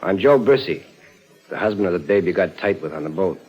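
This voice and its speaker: A man's voice: low-pitched, expressive, brisk, slightly rough. An actor playing a blunt, threatening gangster in old radio crime drama, talking in tough-guy slang. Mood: menacing. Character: menacing jealous gangster husband, tough and blunt. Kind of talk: 1950s radio crime acting. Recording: dull old radio recording.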